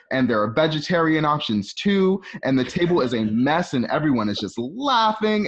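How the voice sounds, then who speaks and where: A man's voice: medium pitch, a bit bright. A man reading scripted scene descriptions as the narrator of an amateur radio play. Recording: dull lo-fi home recording.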